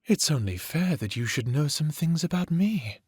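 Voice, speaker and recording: A man's voice: a little high, lively intonation, moderate pace, darkish tone, breathy edge, no room sound, studio quality. A man acting a sadistic, playful vampire villain who taunts theatrically in an audio drama. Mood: mocking, taunting. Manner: taunting, theatrical, toying with words.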